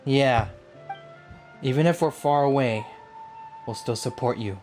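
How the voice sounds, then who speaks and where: A man doing casual, slangy let's play commentary, reacting aloud in an excitable way. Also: mid-range voice, a little slow, faint music behind. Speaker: gaming video host. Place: home, near a barking dog.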